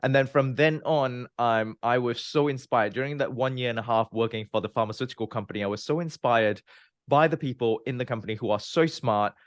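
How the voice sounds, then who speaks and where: A man in his thirties from Malaysia, a scientist guest explaining his career in a measured way with frequent "um" and "you know". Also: mid-range voice, no room sound, clean studio sound. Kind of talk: interview, recounting his career.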